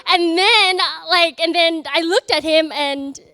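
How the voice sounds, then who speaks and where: A young woman from Vietnam with a Vietnamese accent, giving an earnest, halting personal testimony to an audience, full of fillers and restarts. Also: very high voice, pushed and bright, dry recording, studio quality. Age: young adult. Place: event in front of an audience.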